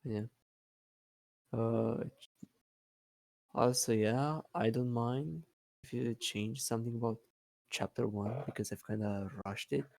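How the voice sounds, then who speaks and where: A man who is not a native English speaker, chatting casually in a halting way with repeated words and many fillers. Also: mid-range voice, vocal fry, a little mellow, no echo. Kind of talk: casual hesitant chat.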